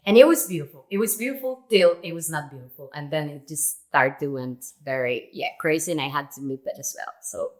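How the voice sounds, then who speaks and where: A woman's voice: low voice, a little fast, hardly any pauses. A woman in her twenties from Venezuela, speaking English with a Venezuelan Spanish accent in a casual, chatty solo Q&A vlog. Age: 20s. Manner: chatty, laughs often, non-native grammar. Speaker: vlogger.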